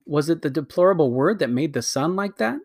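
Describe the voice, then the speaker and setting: A man's voice: on the high side, animated, fairly quick, darkish tone, voice pushed up. A man voicing a curious, earnest young boy who asks eager questions.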